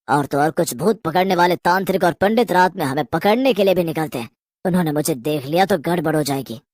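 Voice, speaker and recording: A woman's voice: on the low side, a little mellow, no echo, clean studio sound, natural voice. A woman voicing a greedy, guilty man turned reluctant, grumbling ghost in a horror cartoon story. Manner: nervous, protesting, then grudgingly obedient.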